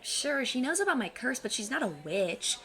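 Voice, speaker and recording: Woman playing a high-voiced, plucky teenage girl. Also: very animated, moderate pace, fairly bright tone, heavily acted. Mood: stubborn, earnest. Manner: precise, formal wording, self-assured. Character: plucky, cocky 15-year-old girl cursed to detect lies. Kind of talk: audio drama acting.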